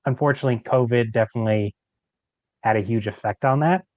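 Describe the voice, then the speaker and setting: A man with a mid-pitched voice chats casually as a podcast guest. His talk is loose and informal, and the recording is dry and a little dull. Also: quick pace, no room sound. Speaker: podcast guest, creator of an audio drama. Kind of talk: casual chat.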